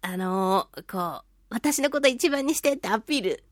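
A woman hosting a solo radio talk show in a casual, playful and theatrical way, giggly and swooping between exclamations and low asides, close on a studio microphone. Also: animated, normal speed, no room sound. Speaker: radio show host, voice actor.

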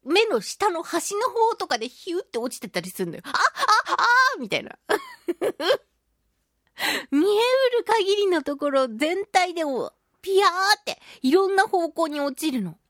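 A woman with a high-pitched voice hosts a casual solo radio talk. She tells stories in an animated way, sprinkling in sound-effect words and mock-polite turns of phrase. The sound is close and dry, with very little room on it. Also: moderate pace, no echo. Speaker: radio show host.

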